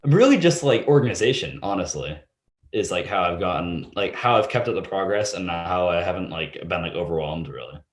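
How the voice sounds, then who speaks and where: A man's voice: low voice, lively intonation, fairly quick, roomy sound. A teenage boy answering interview questions casually, rambling and hesitant, filling with "like". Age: teens. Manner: rambling, heavy use of "like", hesitant. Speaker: student guest.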